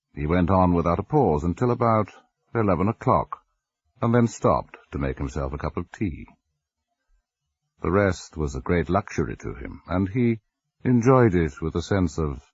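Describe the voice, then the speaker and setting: A grave ghost-story narrator with an extremely deep, husky voice. His phrasing is measured and suspenseful, with lively swings in pitch. The recording is dry and a little dull. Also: dry recording, full character voice. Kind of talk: dramatic scripted narration.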